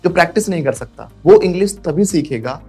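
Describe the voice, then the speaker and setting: Lively male teacher drilling a class. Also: sits high, fairly quick, slightly rough, faint music behind. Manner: lively, repeats drills, asks class to echo. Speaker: spoken English teacher. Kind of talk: classroom English lesson.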